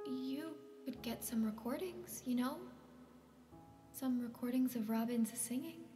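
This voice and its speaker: A woman playing an intense, searching woman in a stage drama, often breathy and near a whisper, in an echoey space. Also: on the high side, unhurried, mellow, soft background music, close to an everyday voice. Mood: intimate, wistful. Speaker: actor in a stage play reading. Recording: echoey hall reverb.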